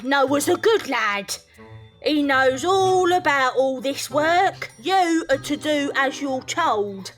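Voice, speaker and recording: A woman playing a bossy undertaker's wife in a period audio drama. Her high voice swoops about as she scolds, excitable and easily flustered. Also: slow, faint music behind, heavily acted. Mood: scolding.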